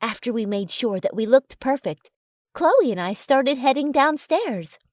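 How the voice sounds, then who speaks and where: A woman narrates a romance story in a high voice. Her pitch moves in lively sweeps as she reads the scripted text, and the recording is dry with almost no room sound. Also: unhurried, no echo.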